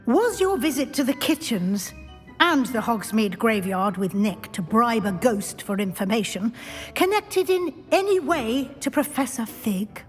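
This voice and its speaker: A woman playing a composed, gently stern school professor in a video game, speaking formally and with authority. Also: a little high, lively intonation, measured pace. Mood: approving, probing. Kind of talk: video game character acting. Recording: long hall reverb, faint music.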